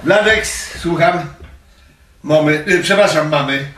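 A man's voice: fairly high pitch, a little slow, echoey room, noisy background, lightly acted. An actor in a Polish radio comedy playing a harried, chatty male shop owner, eager, wheedling and fussy. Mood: harried, chummy.

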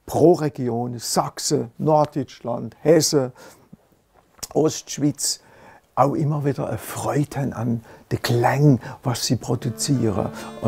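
A man's voice: mid-range voice, vocal fry, mellow. An older man with an Alemannic German accent, speaking in earnest, enthusiastic interview answers with small hesitations, in an indoor study. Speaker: musician interviewed in a portrait. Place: indoor study with bookshelves and a double bass. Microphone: medium close-up camera framing.

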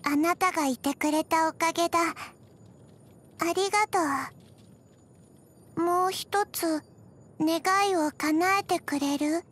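A tiny zombie girl from a video game. Her voice is high and childlike, and she speaks very slowly in soft, halting pieces. She sounds emotionless yet gentle, as if memory comes to her a bit at a time. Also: heavily acted. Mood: quietly grateful. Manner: halting, deadpan, soft-spoken with frequent pauses. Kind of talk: scripted video game character voice lines.

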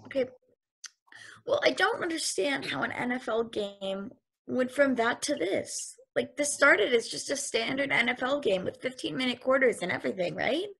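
A woman's voice: sits high, animated, normal speed, creaky, a bit bright, slightly rough, rough recording. A young woman on a video call reading a stage play aloud, asking puzzled questions. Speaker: table read actor.

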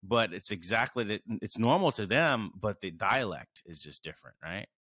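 A man in his thirties hosts a podcast, speaking into a stand mic in a small curtained studio. His voice sits on the low side and sounds dry and close. He rambles casually and reacts with lively surprise. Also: moderate pace, dry recording. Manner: animated, rambling, reacts with wows. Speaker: podcast host. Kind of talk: casual interview chat.

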